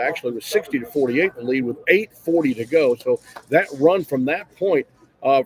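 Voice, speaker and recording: A man's voice: fairly high pitch, poor audio. A man working as a play-by-play broadcaster, giving a detailed radio postgame recap full of scores and stats. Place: courtside broadcast position at an arena.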